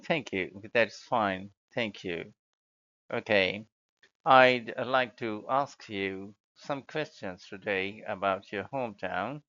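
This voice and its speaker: A man acting as examiner, putting formal questions politely and haltingly, on a dull recording. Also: lowish voice, pushed and bright. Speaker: speaking test examiner. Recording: dull lo-fi audio.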